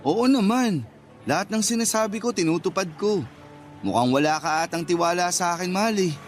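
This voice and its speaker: Sweet-talking Filipino boyfriend, acted by a man, slightly high-voiced. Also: fairly quick, faint music behind, natural voice. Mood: reassuring, playful. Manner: teasing, earnest, affectionate. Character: sweet-talking, playful young boyfriend promising marriage. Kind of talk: radio romance drama acting.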